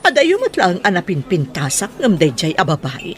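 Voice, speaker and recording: A woman's animated voice with an Ilocano Filipino accent, swinging widely in pitch. An actress plays a stubborn schemer in an audio drama. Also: moderate pace. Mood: defiant, scheming. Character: determined, scheming mother set on winning back her family.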